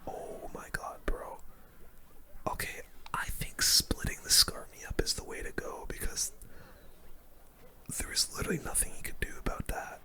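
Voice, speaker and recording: A young man delivers ASMR gaming commentary entirely in a breathy whisper, close to the mic. The sound is crisp and bright, with no real voicing. His delivery is casual and slangy as he reacts to the play. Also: normal speed, long pauses. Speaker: gaming video host.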